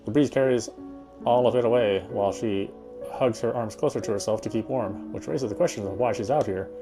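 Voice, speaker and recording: A man's voice: on the low side, a little fast. Man reading a story aloud over music. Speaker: video game player reading aloud. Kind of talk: first-person story narration read aloud.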